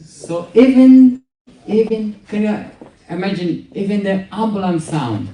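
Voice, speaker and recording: Slow, animated man joking wryly. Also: sits high, slight background noise. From Afghanistan. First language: Farsi. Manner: wry, deadpan joking with laughing asides. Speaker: stand-up comedian. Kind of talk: stand-up comedy set. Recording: roomy sound from a distant mic.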